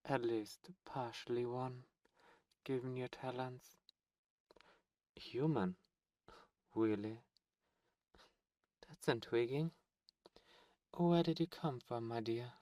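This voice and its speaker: Slow, seductive demon prince acted by a man. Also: mid-range voice, dark tone, no echo, natural voice. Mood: commanding, admiring. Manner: slow, theatrical, courtly phrasing. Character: flirtatious male demon prince, imperious and smoothly seductive. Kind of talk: ASMR roleplay audio drama, intimate close delivery.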